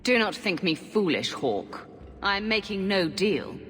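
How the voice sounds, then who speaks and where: An actress playing a determined, haughty warrior commander in a fantasy game, stern, imperious and insistent. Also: female voice, mid-range voice, expressive, measured pace, fairly bright tone. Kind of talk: dramatic fantasy game acting. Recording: long stone-hall reverb.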